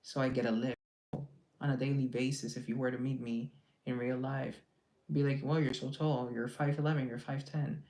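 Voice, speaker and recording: A man's voice: medium pitch, little pitch movement, moderate pace, a little mellow, poor audio. A man hosting a casual social media live, reading out viewer comments and answering them in a teasing, joking, chatty way over a phone mic. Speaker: live-stream host.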